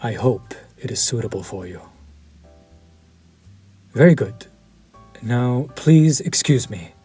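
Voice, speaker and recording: Vampire chef, male, courtly and menacing, voiced by a man; breathy mid-pitched delivery, unhurried. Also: expressive, faint music behind, exaggerated voice. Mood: courteous. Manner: slow, formal and soft-spoken, dropping to near-whispers. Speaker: voice actor. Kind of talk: audio roleplay to the listener.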